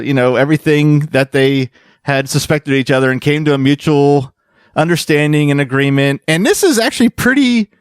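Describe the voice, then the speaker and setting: A man hosting a casual panel podcast, telling fan lore in a jokey, animated storytelling way. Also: high-pitched, no room sound, studio quality. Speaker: podcast host.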